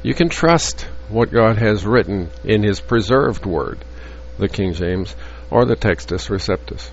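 A man teaching from the Bible in an earnest, halting way, with frequent restarts and fillers. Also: on the low side, animated. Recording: dull lo-fi audio with faint hum.

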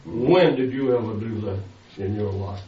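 A man's voice: lowish voice, normal speed. An older man preaching a sermon, pressing his listeners with rhetorical questions. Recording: echoey, distant, dull lo-fi sound.

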